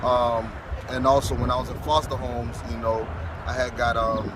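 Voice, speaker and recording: A man in his thirties talks haltingly outdoors, filling his answers with soft fillers and restarts at a middling pitch. Loud city traffic roars close behind him the whole time. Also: level tone. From Florida. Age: 30s. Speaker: interviewee. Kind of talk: street interview answers.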